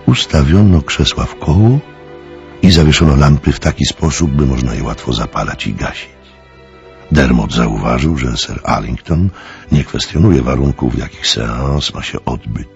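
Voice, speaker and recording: A man narrates a suspense story in an extremely low, gravelly voice with lively intonation. Music plays faintly behind him. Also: normal speed, highs cut off.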